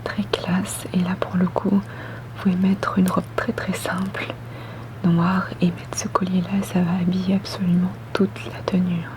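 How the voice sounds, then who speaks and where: A woman whispering softly and breathily in an ASMR roleplay as a polite, attentive boutique saleswoman, gentle and unhurried. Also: fairly low pitch, monotone, background noise. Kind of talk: ASMR roleplay, customer service.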